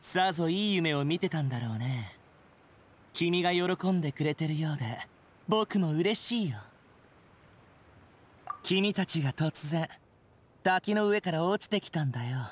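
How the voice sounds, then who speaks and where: A man playing a haughty, sharp-tongued young wanderer in a Japanese anime game, talking in a sneering, sardonic way. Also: a little high.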